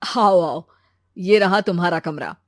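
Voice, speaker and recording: A woman's voice: fairly low pitch, measured pace, no echo, voice pushed down. Reasonable young man, played by a female storyteller: earnest, explanatory, family drama, dry sound. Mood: welcoming.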